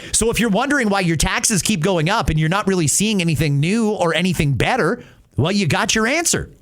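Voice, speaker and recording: A man's voice: high-pitched, animated, a little fast, fairly bright tone. A middle-aged man hosting an opinionated talk show in an emphatic, conversational way. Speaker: podcast host. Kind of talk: opinionated talk radio commentary.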